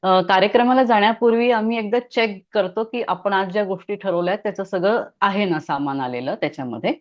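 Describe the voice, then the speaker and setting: The speaker is a middle-aged woman with a mid-range voice, recorded with a dull, lo-fi sound. She tells stories conversationally as an interview guest. Her delivery is animated, as she acts out bits of what people said. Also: medium pitch, fairly quick.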